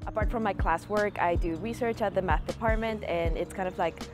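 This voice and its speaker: A woman's voice: medium pitch, fairly quick. A woman in her twenties from Peru, a student answering interview questions in an earnest, casual way with many fillers. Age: 20s. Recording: music playing behind the voice.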